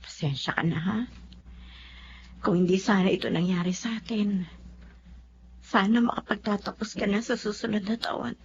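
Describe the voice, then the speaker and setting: A woman acting a doting, worried Filipina mother in a television drama. She talks apologetically in a medium-pitched voice. The recording is muffled, with faint background hum. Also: steady tone, moderate pace, pauses often, lightly acted. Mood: regretful. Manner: apologetic, fretful.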